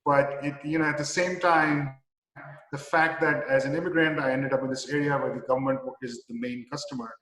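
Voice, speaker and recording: A man in his forties with a Pakistani accent, a company president answering interview questions in a halting, easygoing way with many restarts. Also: fairly high pitch, steady tone, fairly bright tone. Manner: halting, many restarts and "you know" fillers, easy chuckles. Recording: echoey room with long reverb.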